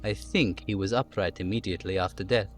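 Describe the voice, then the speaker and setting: A man playing a measured, analytical police detective in a game, composed and methodical in how he talks. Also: low-pitched, fairly even intonation, lightly acted.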